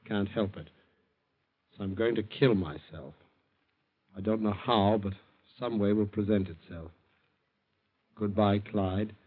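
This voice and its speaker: A weak-willed, troubled American son speaks earnestly in a low voice, in 1940s radio style. The recording is thin and phone-like. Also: male voice, a little slow, long pauses. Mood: somber reading.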